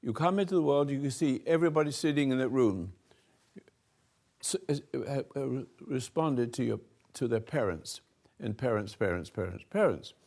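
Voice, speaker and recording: An older man slowly giving a rambling spiritual talk to a room, halting and restarting, swinging between soft and loud. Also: mid-range voice, animated. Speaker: spiritual teacher hosting a talk.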